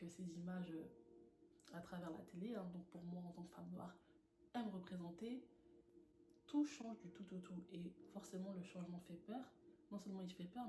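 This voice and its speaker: A young woman from France sharing her personal faith testimony in an earnest, animated way, laughing often. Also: lowish voice. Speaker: testimony speaker. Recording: echoey room with noise.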